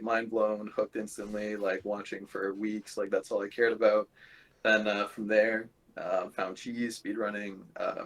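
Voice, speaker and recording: A man from Canada chatting casually as a podcast guest, laid-back and informal. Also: lowish voice. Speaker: podcast guest, event organiser. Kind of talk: casual chat.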